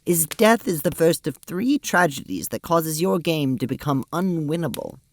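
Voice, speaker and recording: A woman's voice: sits low, expressive, normal speed. A woman playing a prim, fatalistic advisor who speaks formally and precisely in an audio drama. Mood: resigned, earnest. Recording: faintly noisy mic with clicks.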